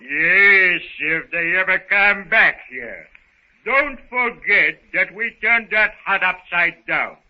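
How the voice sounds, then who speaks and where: Slow, wary pirate henchman. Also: high-pitched, expressive. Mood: doubtful. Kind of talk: 1930s radio serial acting. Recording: muffled old 1930s radio recording.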